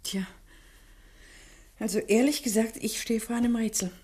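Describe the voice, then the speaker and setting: An actress playing a professor's thoughtful, candid daughter in a German detective radio play, speaking with some hesitation. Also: female voice, fairly high pitch, steady tone, moderate pace, warm tone, breathy edge. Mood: puzzled.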